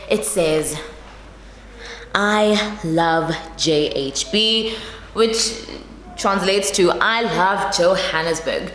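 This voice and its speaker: A young South African woman with a South African accent, musing to camera as a vlog host. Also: on the low side. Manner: musing, reflective, with fillers. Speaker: YouTuber and street interviewer. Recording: roomy echoing room with long reverb and faint noise.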